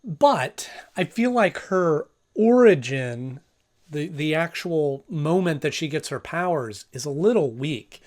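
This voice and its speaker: A man gives casual review commentary as the host. His voice sits a little high, and he talks conversationally, thinking aloud and stressing points as he goes. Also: animated, slow, darkish tone.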